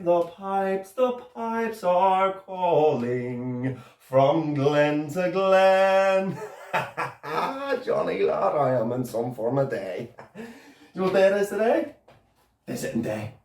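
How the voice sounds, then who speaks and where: A man's voice: mid-range voice, lively intonation, moderate pace, creaky, a little mellow, far from the mic. Guy doing a cocky young prisoner, swears a lot, begs hard, echoey room. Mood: cocky, excited. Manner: swearing, laughing, then pleading. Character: cocky young male prisoner, excited yet desperate. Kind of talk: dramatic monologue.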